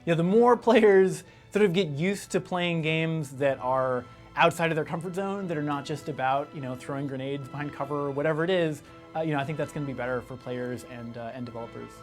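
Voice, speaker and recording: Higher-pitched man talking in halting bursts. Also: faint music behind. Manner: halting, talks in bursts with frequent asides. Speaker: video game interviewee. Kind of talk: casual interview talk.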